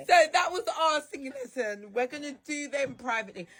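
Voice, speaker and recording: A woman hosting a radio show with a naturally husky voice, chatting with a guest in a teasing, chatty way that breaks into laughter easily. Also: high-pitched, lively intonation, brisk, fairly bright tone. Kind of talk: casual on-air chat.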